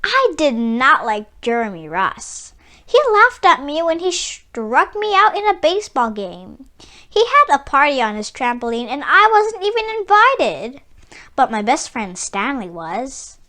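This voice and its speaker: Female children's book reader, bright and lively, theatrical storytelling with emphatic key words. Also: sits high, a little slow. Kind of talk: expressive read-aloud storytelling.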